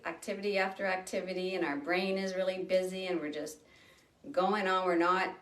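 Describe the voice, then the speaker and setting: A woman leading a guided breathing meditation, speaking slowly and gently with long pauses. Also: on the low side, far from the mic. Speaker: meditation teacher. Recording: roomy sound from off the mic.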